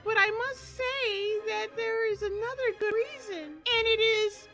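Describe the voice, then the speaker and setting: A woman's voice: very high voice, unhurried, a bit bright, exaggerated voice. A woman voicing an anxious young female rabbit police officer in an amateur comic dub, earnest and pleading. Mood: apologetic, earnest. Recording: background music under the voice.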